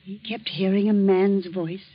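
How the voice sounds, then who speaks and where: Actress as husky, anxious middle-aged mother. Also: medium pitch, steady tone, measured pace, lightly acted. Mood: worried. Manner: worried, hesitant. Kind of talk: 1940s radio acting. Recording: muffled 1940s radio broadcast.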